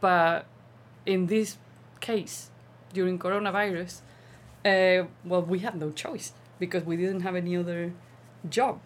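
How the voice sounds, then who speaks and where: A woman's voice: fairly low pitch, steady tone, unhurried. A woman from southern Spain with a Spanish accent, a teacher chatting casually as an interview guest. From Seville province, Spain. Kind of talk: casual chat.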